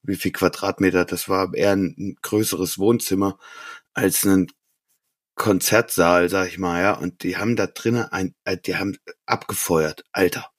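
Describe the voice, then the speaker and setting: A man's voice: low-pitched, fairly even intonation, moderate pace, no echo, studio quality. A man casually discussing music on a podcast, gushing and emphatic, with plenty of slang. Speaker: podcast co-host.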